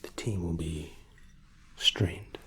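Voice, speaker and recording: A man softly explaining spa treatments in an ASMR roleplay, whispery and breathy, with a gentle, reassuring, attentive manner. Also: deep voice, slow. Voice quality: whispery, breathy, soft-spoken.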